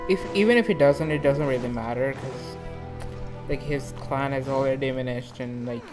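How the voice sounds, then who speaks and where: A man giving casual, excitable and rambling reaction commentary on a video game in an informal way. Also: medium pitch, normal speed. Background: game music.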